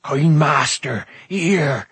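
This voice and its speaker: A hairy, devoted little storybook creature. It is excitable and boastful, repeating itself in a fawning way. The voice is husky and stretches out its words. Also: male voice, mid-range voice, drawn-out words, no echo, full character voice. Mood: excited, urgent. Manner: rhyming, repetitive, fawning talk.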